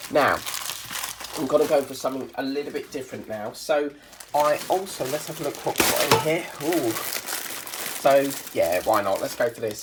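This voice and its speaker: A British man with a croaky, bunged-up voice from a cold, hosting a casual unboxing chat and rambling with lots of ums. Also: mid-range voice, fairly bright tone, a little hiss or hum, poor audio. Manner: chatty and rambling, many ums. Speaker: crafting channel host.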